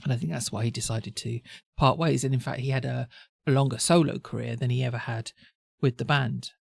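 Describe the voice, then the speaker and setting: A woman's voice: rumbling low, fairly even intonation, fairly quick, vocal fry, no room sound, clean studio sound. A woman hosting a reaction video, talking in a rambling, self-correcting chatty way while stuffed up with a cold and a sore throat. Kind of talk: casual chatty commentary.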